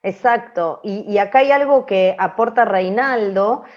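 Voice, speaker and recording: A woman's voice: medium pitch, measured pace, highs cut off. A woman explaining in an open class as a teacher, reflective and emphatic, repeating key phrases for stress. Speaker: radio language teacher.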